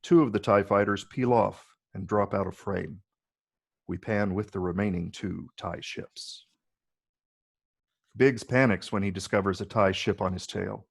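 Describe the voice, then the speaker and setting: Brief: male narrator for an action screenplay, lowish pitch, husky and creaky, reads stage directions. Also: a little slow. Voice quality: gravelly, husky.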